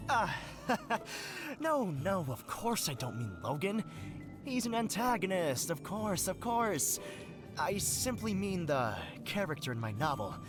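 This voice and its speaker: A pompous would-be novelist. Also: male voice, sits high, very animated, slow, full character voice. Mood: grandiose. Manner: florid, melodramatic delivery. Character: pompous adult male would-be novelist, theatrical and grandiose. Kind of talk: video game character dialogue. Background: game music.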